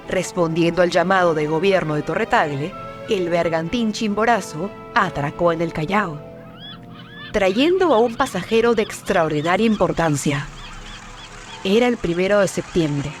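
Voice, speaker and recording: A woman giving scripted documentary narration in a measured, formal, dramatic manner. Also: on the low side. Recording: music behind the narration.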